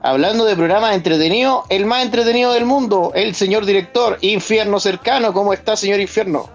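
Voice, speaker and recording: A man's voice: high voice, a little fast, highs cut off, faint music behind. A man with a Chilean accent hosting a podcast, introducing his guests in an upbeat, ironic way. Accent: Chilean Spanish. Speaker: podcast host.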